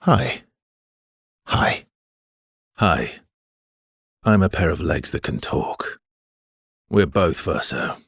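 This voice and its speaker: A weary, gentle adventurer in a video game, very husky and breathy. His low voice takes its time and sounds dry and close, with measured, understated lines. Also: sing-song, no echo, exaggerated voice. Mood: dry, wry. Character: weary, gentle, guilt-burdened adult male adventurer.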